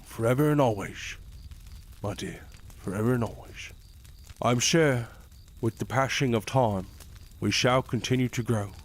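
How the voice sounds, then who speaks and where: A man's voice: mid-range voice, measured pace, long pauses. A man voicing a loyal, aging royal advisor who speaks in a formal, courtly way in a fantasy audio drama. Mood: earnest. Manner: formal, courtly, gently doubting.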